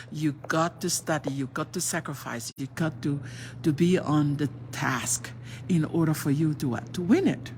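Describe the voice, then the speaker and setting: A woman's voice: deep voice, a little hiss or hum. A middle-aged Tongan woman whose first language is Tongan, giving an earnest motivational talk on a phone livestream and often asking herself rhetorical questions. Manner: earnest, asks rhetorical questions and answers them; switches between languages mid-sentence. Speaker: livestream host.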